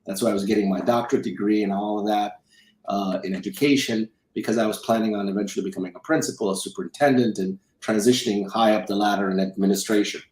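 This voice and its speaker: A man's voice: fairly low pitch, fairly even intonation, fast, a little mellow, roomy sound, poor audio. A middle-aged man from Romania, raised in South Dakota, telling his story conversationally as an interview guest, often repeating and rephrasing himself. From Romania, raised in South Dakota from childhood. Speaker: interview guest, film executive producer and financial advisor.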